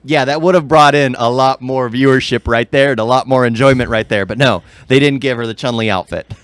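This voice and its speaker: A man's voice: mid-range voice, brisk, clear, resonant tone, no echo. A man co-hosting a podcast, chatting casually about films in a wry, opinionated, conversational way. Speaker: podcast co-host.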